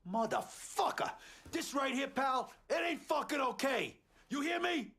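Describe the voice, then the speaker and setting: An actor playing a foul-mouthed, hot-tempered gangster with a blunt, streetwise manner in a video game. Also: male voice, very high voice, expressive, measured pace, creaky, pushed and bright, exaggerated voice. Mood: furious. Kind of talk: video game cutscene acting.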